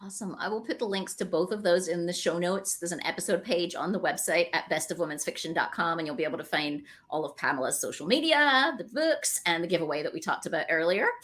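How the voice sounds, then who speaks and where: A woman's voice: on the low side, fast, hardly any pauses, fairly bright tone. A woman hosting a conversational podcast interview, effusive and encouraging with her guest.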